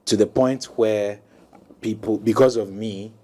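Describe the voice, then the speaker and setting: Low-voiced man, hesitant then emphatic. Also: a little slow. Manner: hesitant, many ums, grows animated and emphatic. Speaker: brand consultant guest. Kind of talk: panel talk show discussion.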